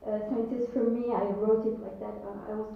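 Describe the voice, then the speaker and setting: Speaker from Algeria, woman, 30s, halting, fillers, laughs; recorded far off in an echoey hall, muffled. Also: mid-range voice, little pitch movement, vocal fry. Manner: hesitant, many fillers, laughs often. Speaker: lighting designer giving a short talk. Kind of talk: timed slide presentation. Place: large echoey event space. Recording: distant, echoey, muffled event audio.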